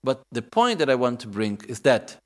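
A man's voice: medium pitch, sing-song, normal speed. A man in his thirties giving a Buddhist dharma talk in non-native English, reflective and often checking in with "okay?". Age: 30s. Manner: reflective, repeats questions to the audience with "okay?" and "no?". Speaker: Buddhist teacher.

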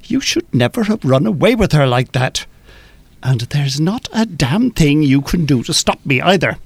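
A controlling, abusive husband in a radio drama. His voice sits fairly high for a man, in a fairly dry sound. He taunts and bullies with sardonic, sneering menace. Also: very animated, moderate pace, gravelly, slightly rough, no room sound, exaggerated voice. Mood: sneering, taunting. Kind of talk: live radio play acting.

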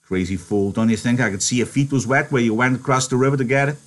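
A man voicing a short-tempered, worn-out ranch hand who scolds gruffly and flares into shouting. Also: mid-range voice, steady tone, quick pace, voice pushed up, natural voice. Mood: scolding.

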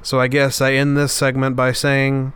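Man, podcast host, nasal, stuffed-up, earnest, heartfelt, reflective monologue, clear resonant tone. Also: medium pitch, monotone, normal speed, a bit bright. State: stuffed up after an illness.

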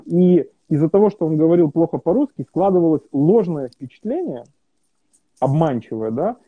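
A man's voice: a little high, expressive, normal speed, breathy edge, dry recording. Hesitant man on a tinny line. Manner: hesitant, many fillers and restarts. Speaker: interview guest. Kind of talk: casual conversational interview.

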